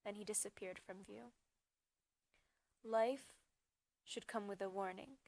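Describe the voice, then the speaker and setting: A woman reciting slam poetry as a spoken-word poet, with a dramatic, emphatic delivery in short measured phrases. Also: medium pitch, steady tone, vocal fry, darkish tone, no room sound.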